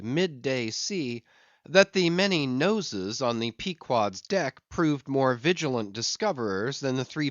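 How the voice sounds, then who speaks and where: A man narrates a classic literary audiobook in a medium-pitched voice with very mobile intonation. His phrasing is deliberate and a little theatrical, suited to ornate prose. The recording is dry and close, though somewhat dull and lo-fi. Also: no room sound. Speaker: audiobook reader.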